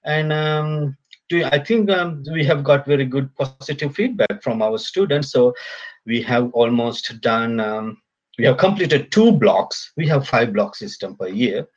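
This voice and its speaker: A man from Sri Lanka, a polytechnic lecturer, speaking as a guest in a casual remote interview, halting with many fillers and restarts. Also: mid-range voice, expressive, highs cut off.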